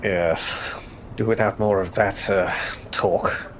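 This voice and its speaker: A man's voice: deep voice, steady tone, takes it slowly, slight background noise. A man playing in a tabletop game, speaking casually in character with a dry, courteous manner.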